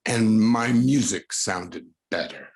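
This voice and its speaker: A man playing Martin, an anxious, self-doubting musician, halting and brooding in a 1940s-style radio thriller. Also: on the low side, expressive, creaky, heavily acted. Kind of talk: 1940s radio drama acting.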